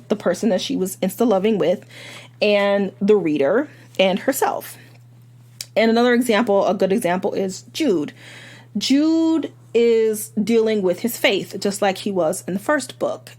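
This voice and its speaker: A woman with a slightly hoarse voice chats through a book review, rambling a little and stopping to restart her thoughts. Her pitch sits in a middle range for a woman. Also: darkish tone. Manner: chatty, rambling with fillers and restarts. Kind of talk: casual book review monologue.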